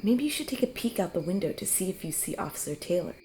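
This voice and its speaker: A woman's voice: fairly low pitch, big pitch swings, fast, darkish tone, slight background noise. A woman playing a practical, determined young camp counselor in a horror audio drama. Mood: uneasy.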